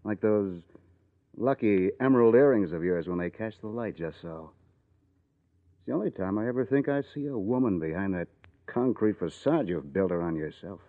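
Casting: wry, boozy American columnist, swooping mid-range voice, old radio drama through a tinny narrow band. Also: male voice, lowish voice, expressive, normal speed. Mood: needling, bitter. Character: cynical hard-drinking male newspaper columnist, wry and needling.

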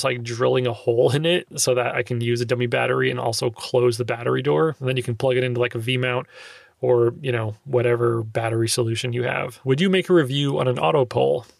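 A man's voice: medium pitch, vocal fry, no room sound. Nasal young man in a dry closet. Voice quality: slightly nasal. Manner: loose, filler-heavy explaining with frequent "like". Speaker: podcast host answering listener questions. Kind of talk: casual solo podcast Q&A. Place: small sound-treated closet. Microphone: handheld recorder close up.

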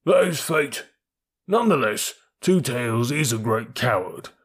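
A man voicing a stolid, fatalistic bullock in a storybook reading, slow, solemn and chanting, with a rough voice. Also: mid-range voice, lively intonation. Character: bullocks, stolid, fatalistic yoke of gun oxen speaking slowly.

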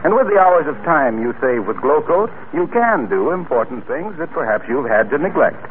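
Animated male vintage radio announcer. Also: on the high side, moderate pace. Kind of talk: 1940s radio announcing and sponsor pitch. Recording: narrow-band, noisy vintage radio recording.

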